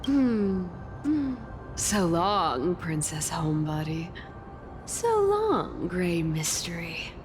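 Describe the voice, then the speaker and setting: A woman's voice: lowish voice, big pitch swings, very slow, full character voice. A woman playing a sly, flippant, free-spirited young trickster in a video game, talking in a casual, teasing way. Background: music.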